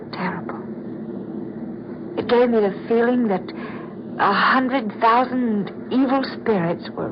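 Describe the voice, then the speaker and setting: A woman's voice: medium pitch, creaky. Vintage radio horror, muffled and very noisy: a troubled opera soprano, mid-range female voice, theatrical and dread-haunted, lingering on her words. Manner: theatrical, dramatic, lingering pauses. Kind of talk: old-time radio horror drama acting.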